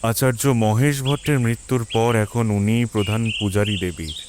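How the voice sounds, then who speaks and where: Man playing a cautious, lowish-voiced young villager. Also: fairly even intonation, normal speed, background noise, close to an everyday voice. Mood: warning, reverent. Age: young adult. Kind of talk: audio drama acting.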